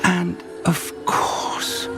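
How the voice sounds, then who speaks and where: Kindly older wizard professor for a fantasy game: unhurried, expressive, over loud music. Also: male voice, high voice, heavily acted. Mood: hushed wonder. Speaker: professor and mentor. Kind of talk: video game voice acting.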